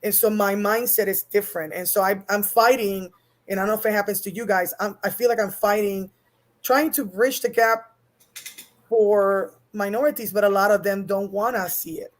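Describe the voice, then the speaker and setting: Casting: a woman with a Puerto Rican accent, earnest guest, mid-pitched, talks in long runs. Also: fairly even intonation, moderate pace. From Puerto Rico. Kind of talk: casual panel chat.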